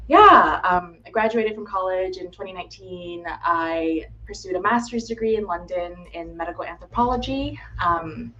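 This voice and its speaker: A woman's voice: fairly low pitch, sharp tone, highs cut off. A woman in her twenties from Florida, chatting casually as a guest, with lots of ums and likes. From United States (Florida). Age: 20s. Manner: chatty, frequent "um" and "like", laughs easily. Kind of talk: casual interview chat. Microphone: video call. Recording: faint background noise.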